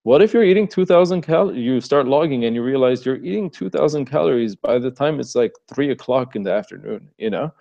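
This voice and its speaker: A man's voice: medium pitch, a little fast, gravelly, hardly any pauses. A young man from Los Angeles with an Armenian-American accent, chatting loosely in a live Q&A and laughing often. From Los Angeles, USA. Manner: relaxed, rambling, laughs often. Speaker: fitness coach answering questions. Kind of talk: casual live Q&A. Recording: muffled lo-fi stream audio.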